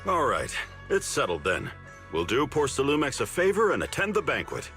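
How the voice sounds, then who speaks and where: An actor playing a cunning, easygoing senior disciple in a video game, explaining things with confidence and expression. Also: male voice, mid-range voice, wildly swooping pitch, normal speed, sharp tone, faint music behind, exaggerated voice. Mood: easygoing, persuasive.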